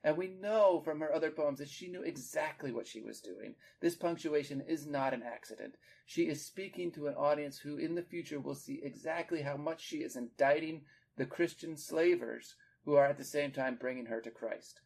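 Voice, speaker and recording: A man's voice: on the high side, moderate pace, creaky, few pauses, a little mellow. A man hosting a literary discussion, explaining like a teacher in an articulate way with emphasis on key words. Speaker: podcast host and literature teacher.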